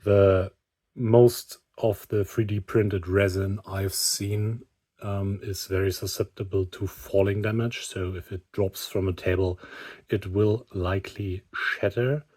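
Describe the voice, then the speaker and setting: A man giving an unscripted hobby product review, speaking haltingly with pauses in mid-sentence. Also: on the low side, steady tone, a little mellow.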